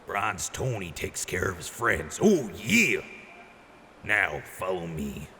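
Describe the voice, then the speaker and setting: A man's voice: big pitch swings, takes it slowly, sharp tone, exaggerated voice. A man playing a boisterous, generous wasteland quartermaster in an audio drama, with a hyped showman's delivery. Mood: boisterous, friendly. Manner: hyped showman with booming catchphrase outbursts. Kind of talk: post-apocalyptic audio drama acting. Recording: noisy, reverberant mix.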